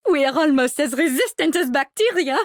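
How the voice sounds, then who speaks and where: A woman's voice: high-pitched, expressive, brisk, no room sound, clean studio sound. An actress playing a playful, morbid woman doctor in a video game, theatrical and gleefully clinical. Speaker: game character voice.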